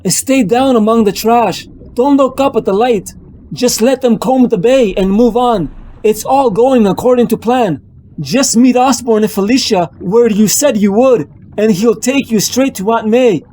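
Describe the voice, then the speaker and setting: A man's voice: very high voice, unhurried, warm tone, a little hiss or hum, rough recording. A man voicing an anxious young male superhero who mutters tensely to himself.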